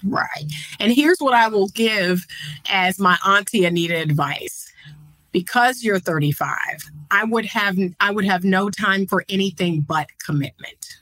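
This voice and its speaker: A woman who is a counselor, giving measured and encouraging advice in a podcast conversation. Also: medium pitch, fairly bright tone, no echo, low-quality recording. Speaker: guest counselor.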